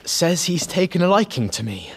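An earnest teenage boy wizard with a fairly high male voice. He is thoughtful and unassuming, speaking plainly with slight hesitation in a dramatised audiobook. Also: sing-song, normal speed, slightly rough, heavily acted. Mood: matter-of-fact. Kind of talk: full-cast audiobook drama.

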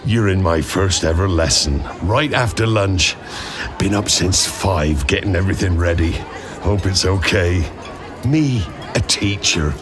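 A man voicing a huge, gruff, good-natured male gamekeeper in a deep, booming, slow voice. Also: over music, a crowd in the background. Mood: eager.

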